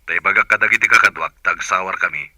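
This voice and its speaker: A man playing a brisk, businesslike policeman in a radio drama. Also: deep voice, sharp tone, dry recording, heavily acted.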